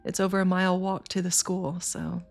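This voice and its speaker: Brief: thirties woman streamer, low gravelly voice, congested and worn out, faint music underneath. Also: level tone, normal speed. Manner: tired, rambling, chats with viewers. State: sniffly, congested. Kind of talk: casual livestream chat.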